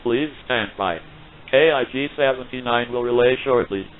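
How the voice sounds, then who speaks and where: A man's voice: mid-range voice, normal speed. A man giving a brief scripted standby announcement as a radio relay station announcer. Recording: thin phone-like radio relay sound.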